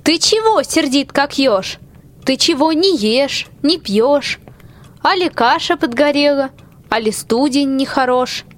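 An actress playing a resourceful, comforting peasant wife who talks in folksy rhyme in a fairy-tale radio play. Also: female voice, high-pitched, animated, a little slow. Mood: concerned, coaxing.

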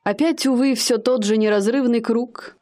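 An actress playing a haughty young woman posing as a high-society lady, speaking in an affected, aloof manner in a radio play. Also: fairly even intonation, moderate pace, dark tone, close to an everyday voice.

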